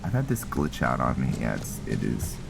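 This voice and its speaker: A man with an extremely deep voice talks through a game he is playing. His commentary is casual and rambling, hesitant as he thinks aloud. There is clear noise and ambience behind him. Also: very animated, normal speed. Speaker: gaming host, roleplayer.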